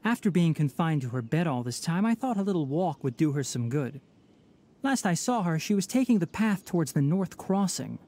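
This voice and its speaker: A man's voice: fairly high pitch, very animated, normal speed, warm tone. An actor playing a young male forest watcher in a video game, polite, articulate, composed and firm. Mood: calm. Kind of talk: video game cutscene voice acting.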